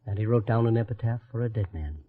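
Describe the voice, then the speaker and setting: A man's voice: lowish voice, fast, background noise, lightly acted. A man narrating a radio drama as a solemn, lyrical storyteller, with poetic scripted delivery. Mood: lyrical, stirring. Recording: muffled old radio recording, music behind.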